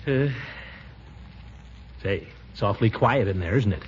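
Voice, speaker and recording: An actor playing a pompous, flustered middle-aged American uncle in 1940s radio comedy style. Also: male voice, on the low side, animated, measured pace. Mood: nervous, fretting. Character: blustery, nervous middle-aged uncle dreading the dentist. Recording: muffled, noisy 1940s radio broadcast.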